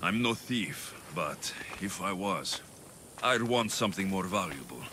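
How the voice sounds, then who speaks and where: A man's voice: low-pitched, animated, measured pace, noisy background. A man playing a bold, wry Greek mercenary hero in a video game, with a Greek accent. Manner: bold, wry, matter-of-fact. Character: confident, dry-witted heroic male Greek mercenary. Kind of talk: video game character acting.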